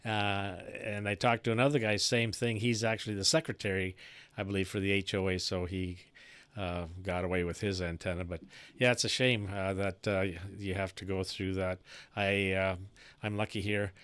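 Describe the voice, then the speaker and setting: Low-voiced man chatting on ham radio, dry close sound. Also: level tone, moderate pace, fairly bright tone, clear, resonant tone, no echo. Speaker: amateur radio operator and live-stream host. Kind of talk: casual ham radio chat, technical shop talk. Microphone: close studio broadcast microphone.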